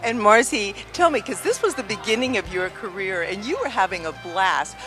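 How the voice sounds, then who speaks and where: A woman hosting an interview show in a brisk, chatty style, eagerly prompting and praising her guests. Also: medium pitch, animated, music in the background.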